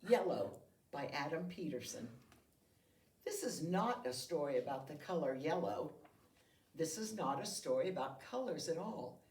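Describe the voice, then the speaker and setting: Woman, lowish pitch, storybook read-aloud, expressive deliberate phrasing, recorded at a distance from the mic. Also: slight background noise. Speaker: school reader of a children's picture book. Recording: roomy echo, distant microphone.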